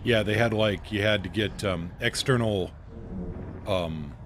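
A man's voice: on the low side, a little slow, fairly bright tone, a little hiss or hum. A man from Iowa chatting casually on a live game stream, rambling and joking as he thanks viewers. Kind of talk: casual live-stream chat while playing.

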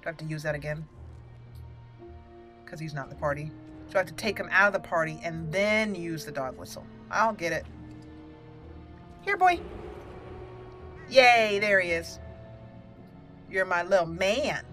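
A woman's voice: on the low side, lively intonation, moderate pace, pauses often, a bit bright, soft background music. A woman hosting a gaming channel, giving casual, playful let's play commentary and talking to the characters. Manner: playful, talks to game characters.

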